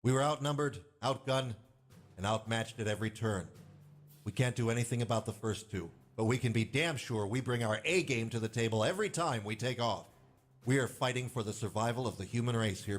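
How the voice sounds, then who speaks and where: An actor playing a stern, weary squadron commander giving grave, hard-nosed speeches to pilots in a space game. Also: male voice, lowish voice, lightly acted. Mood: rallying, firm. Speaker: military commander briefing pilots. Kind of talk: video game voice acting, military briefing speech. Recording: music behind the voice.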